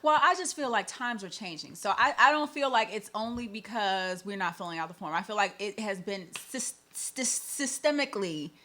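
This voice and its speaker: A woman's voice: medium pitch, lively intonation, moderate pace, hardly any pauses, pushed and bright. A woman hosting a conversational radio show, often peppering her points with "like" and "you know". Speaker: host.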